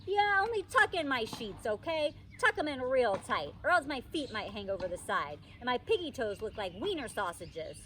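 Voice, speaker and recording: A woman acts out a stubborn little storybook girl, high-pitched and bouncing with excitement. The delivery is insistent and pleading, swooping up and down, and picked up a little way from the mic. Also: moderate pace, few pauses. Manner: insistent, pleading, childish grammar. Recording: distant microphone, faint background noise.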